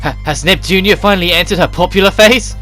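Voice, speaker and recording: A man voicing an energetic, silly, nosy young girl from a video game in a playful, excitable way. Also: high-pitched, fast, soft background music, voice pushed up. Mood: excited. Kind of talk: amateur reading of game dialogue.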